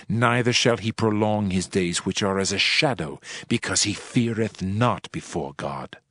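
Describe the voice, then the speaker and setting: A man playing a solemn, world-weary preacher in a dramatized scripture reading, with measured, archaic oratory. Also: medium pitch, dry recording, studio quality, close to an everyday voice. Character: male preacher, solemn world-weary sage pondering wisdom and vanity. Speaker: narrator of a dramatized audio Bible. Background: music.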